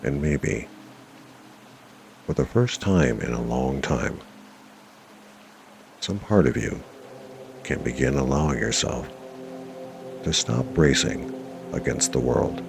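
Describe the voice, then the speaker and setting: Very deep, gravelly male meditation guide. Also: noisy background. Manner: measured, reassuring, with long pauses. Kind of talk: guided meditation narration, self-hypnosis. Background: music.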